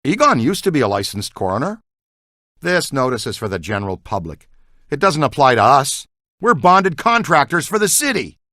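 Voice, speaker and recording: Eager, animated ghost hunter. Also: male voice, medium pitch, normal speed, dry recording, studio quality. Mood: upbeat, instructive. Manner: earnest, technical jargon, urgent call-outs. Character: eager, talkative ghost-hunting man, earnest and excitable. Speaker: video game voice actor. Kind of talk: video game character dialogue.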